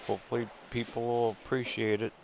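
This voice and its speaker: A man's voice: medium pitch, little pitch movement, brisk, darkish tone, a little hiss or hum, poor audio. An older man narrating a casual walk through a museum as a travel vlogger, easygoing and wry with chuckling asides. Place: indoor museum buildings.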